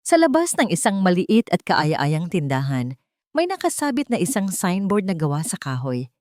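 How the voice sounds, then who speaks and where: A woman with a Filipino accent narrating a scripted dramatic story in an expressive, measured way. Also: on the low side, no echo, clean studio sound. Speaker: audiobook narrator.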